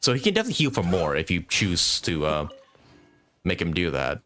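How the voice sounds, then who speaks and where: A man's voice: deep voice, huge pitch swings, brisk, a bit bright, faint music behind. A man doing casual live commentary as a game streamer, thinking aloud as he plays and swearing casually.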